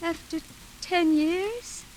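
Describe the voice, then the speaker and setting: An actress playing a deranged, ailing and bitter woman in a 1940s radio thriller, halting and melodramatic, evasive and menacing. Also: extremely high pitch, darkish tone, heavily acted, poor audio. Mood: evasive, agitated. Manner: halting, melodramatic, repeats herself. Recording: hissy old radio recording with background noise.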